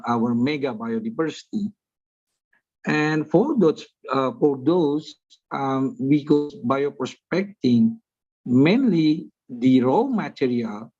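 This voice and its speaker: A man with an Indonesian accent, hoarse from a flu, asking a question in an online seminar with halting pauses and fillers. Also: medium pitch, a little mellow, dull audio. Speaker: audience member asking a question.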